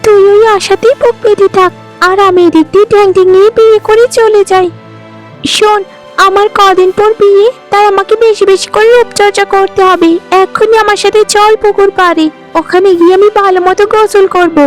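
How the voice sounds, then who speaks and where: A woman voicing a vain, greedy, spiteful young bride in a cartoon, gloating and taunting with shrill exclamations. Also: steady tone, a little fast, faint music behind. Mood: mocking, haughty. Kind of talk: animated folk-tale dialogue.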